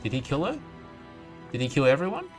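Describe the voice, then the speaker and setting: A man livestreaming a video game, reading dialogue aloud haltingly and dropping in his own reactions. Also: mid-range voice, huge pitch swings, music in the background. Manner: reads on-screen text aloud haltingly, interjects reactions. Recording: clearly noisy mic with clicks.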